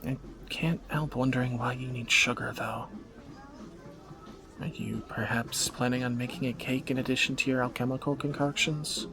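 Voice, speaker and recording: A man playing a teasing, protective captor turned lover in a romantic fantasy audio roleplay, bantering and soft-spoken. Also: mid-range voice, fairly even intonation, moderate pace, vocal fry, over music, lightly acted. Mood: teasing, curious.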